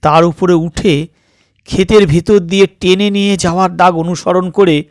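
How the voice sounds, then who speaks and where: A man reading a suspense story as a dramatic audiobook narrator, in measured, deliberate phrases with pauses. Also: high-pitched, fairly even intonation, no echo, clean studio sound.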